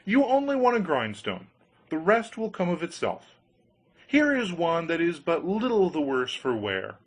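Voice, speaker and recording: A man's voice: on the high side, huge pitch swings, unhurried, pitched above the performer's own voice, exaggerated voice. A man voicing a sly, jovial scissor grinder in a storybook tale, hearty and persuasive. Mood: coaxing. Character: sly, jovial male scissor grinder, smooth-talking tradesman. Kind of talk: storybook character voice.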